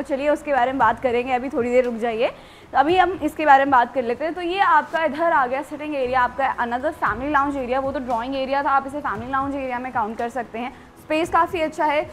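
Young woman, high pitch, chatty house-tour host, English words mixed in, music behind. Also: a bit bright. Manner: conversational, addressing viewers directly. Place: large house, its covered poolside and garden.

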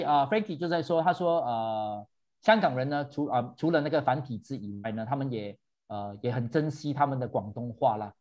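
A man's voice: mid-range voice, darkish tone. A man hosting a virtual tour for seniors, chatting animatedly and switching between English and Mandarin. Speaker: host.

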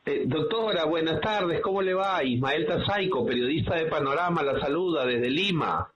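A man's voice: on the high side, moderate pace, a bit bright. A man, a reporter, making a polite phone call. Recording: dull phone recording.

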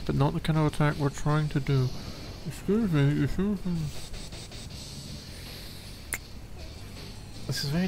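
A man playing a needy young gamer boyfriend in an ASMR roleplay, halting and teasing, with playful exasperation as he explains a game. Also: fairly high pitch, noisy background, natural voice. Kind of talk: ASMR boyfriend roleplay, improvised-sounding casual chat.

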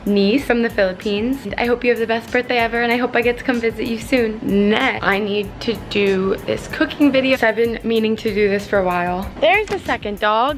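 A woman in her twenties vlogging at her family home, talking to a handheld camera in a casual, chatty, excitable way. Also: a little high. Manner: chatty, excitable, quick exclamations. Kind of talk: casual vlog chat. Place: family home, kitchen and backyard deck. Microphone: handheld camera close up.

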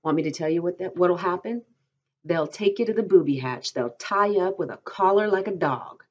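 Bitter lonely stable man read by a woman, needling, brooding, rustic dialect, husky low timbre, dull lo-fi audio. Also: moderate pace, a little mellow, lightly acted. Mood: taunting.

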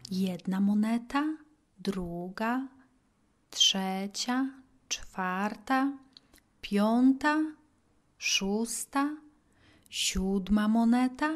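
A woman narrating a children's Bible story expressively, with simple, deliberate phrasing and dramatic pauses. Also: medium pitch.

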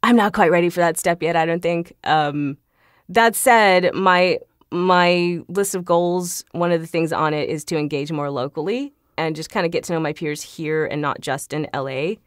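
A woman with a slightly low voice talks as a podcast guest in an earnest, chatty way. The sound is very dry, with no room around it. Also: normal speed, no room sound. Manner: chatty, earnest, often chuckling. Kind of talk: casual interview chat.

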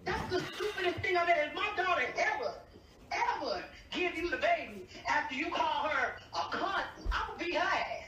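A woman's voice: extremely high pitch, animated, normal speed, pushed and bright. An angry middle-aged woman in a heated argument, confrontational, profane and emphatic. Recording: echoey, distant leaked phone-call recording.

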